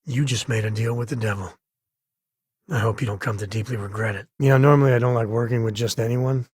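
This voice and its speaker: An actor playing a wry, easygoing space cowboy explorer in a video game, a man with a husky, raspy voice and folksy, laid-back phrasing. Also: medium pitch, steady tone, fast, a little mellow, dry recording, clean studio sound, close to an everyday voice. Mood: conversational. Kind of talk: video game character voice lines.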